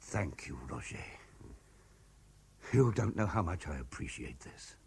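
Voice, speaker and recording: A man's voice: sits low, big pitch swings, measured pace, vocal fry, a little mellow, slightly rough, heavily acted. A man playing a self-important, anxious businessman husband in a radio play, with a pompous manner. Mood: pleading. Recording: 1970s radio drama recording.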